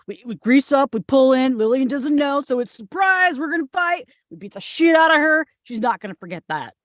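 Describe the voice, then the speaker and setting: A woman's voice: high-pitched, lively intonation, fairly quick, no echo, studio quality. A woman playing a brash, crude bridesmaid who talks bluntly and emphatically in a comedy table read. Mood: aggressive enthusiasm.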